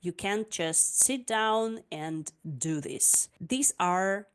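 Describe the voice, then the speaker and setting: A woman's voice: medium pitch, lively intonation, very slow, clean tone. A woman, an online English tutor talking casually to her viewers. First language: Russian. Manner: chatty and personal, with frequent "like" and "uh". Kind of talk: casual talk to camera.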